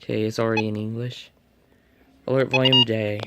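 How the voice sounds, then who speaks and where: A man's voice: fairly low pitch, level tone, fairly quick. A man giving casual unboxing and setup commentary, muttering to himself as he works. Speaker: hobbyist reviewer. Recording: noisy home recording with clicks and beeps.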